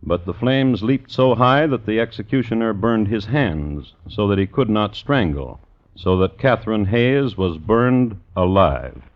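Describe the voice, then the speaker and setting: An actor playing a dry, macabre gentleman crime historian who narrates a 1950s radio show in a scholarly, deadpan way. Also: fairly low pitch. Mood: grave, wry. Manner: measured, wry, deadpan, scholarly. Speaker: narrator and host. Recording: dull vintage radio recording.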